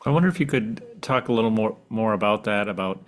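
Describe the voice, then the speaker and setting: Lower-pitched man hosting an interview podcast. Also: expressive, fast talker. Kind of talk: conversational interview questions.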